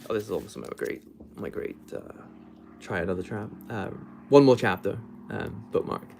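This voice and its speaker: Low-voiced man, casual halting livestream chat. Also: creaky, darkish tone, slight background noise. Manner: rambling, halting, self-correcting, trailing off. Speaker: book vlogger hosting a livestream.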